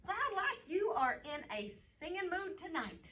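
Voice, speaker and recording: Female children's storyteller, bright and fairly high, animated delivery, picked up from a distance with faint noise. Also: measured pace. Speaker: host, children's Bible storyteller. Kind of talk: reading bedtime Bible stories to children, chatting with a puppet.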